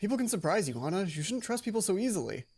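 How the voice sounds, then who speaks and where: A woman voicing a suspicious, bitter young male student in a measured, skeptical manner. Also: medium pitch, very animated, darkish tone, breathy edge.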